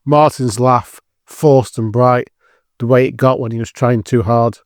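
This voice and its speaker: A man's voice: medium pitch, fairly even intonation, a little slow, no room sound, studio quality. A man giving scripted narration as the storyteller of an audio drama.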